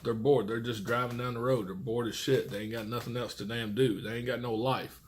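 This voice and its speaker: A man's voice: medium pitch, fairly even intonation, a little fast. An American man who works as a truck driver, giving casual, rambling tips in blunt, profane, folksy vernacular. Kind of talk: casual rambling vlog monologue.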